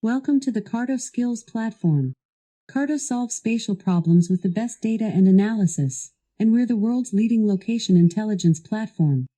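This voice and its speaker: A woman's voice delivering scripted instructional narration as a voice assistant, in a measured, even and formal way. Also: medium pitch. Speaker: voice assistant guiding a product demo.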